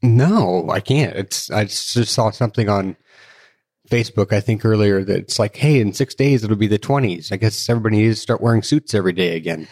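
A man with a very low voice sits in as co-host on his wife's podcast. He talks casually and keeps his remarks brief and dry. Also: a little fast, a little mellow. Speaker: co-host husband.

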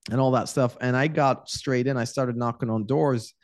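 A man's voice: mid-range voice, fairly even intonation, normal speed, no room sound, clean studio sound. A man in his twenties, raised in Iraq, telling his life story candidly as a podcast guest and often repeating words as he thinks. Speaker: podcast guest, real estate investor. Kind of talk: casual interview storytelling.

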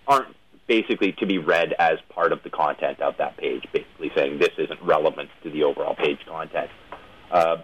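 Very deep, husky male interviewer, muffled audio. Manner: wordy, hedging, long wind-up questions. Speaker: interviewer, show host. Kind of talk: conversational interviewing.

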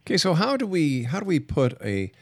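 A man hosting a radio interview, putting long questions in a deliberate, halting way. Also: a little high, huge pitch swings, dry recording. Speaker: radio show host, interviewer.

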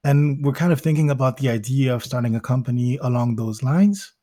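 A man's voice: mid-range voice, a little fast, dark tone, no room sound, clean studio sound. A young man from Belgium, a podcast guest telling his life story in a thoughtful way, often restarting phrases as he searches for words. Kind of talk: conversational interview storytelling.

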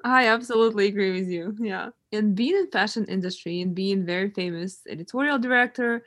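A woman's voice: mid-range voice, fairly quick, a bit bright, no room sound. Woman interviewer from Ukraine, friendly and agreeable, dry close sound. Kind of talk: casual interview chat.

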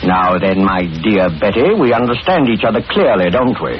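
A sinister older gentleman from vintage radio horror, smug and oily with courtesy. His fairly low voice rises and dips freely, drawing out polite phrases with relish. The old recording is thin and clearly noisy. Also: big pitch swings, normal speed, muffled recording. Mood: smug, gloating. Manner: mock-courteous, unctuous, chuckling, repeats himself. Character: sinister, smugly genial older male killer luring young women. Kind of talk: 1940s radio horror acting.

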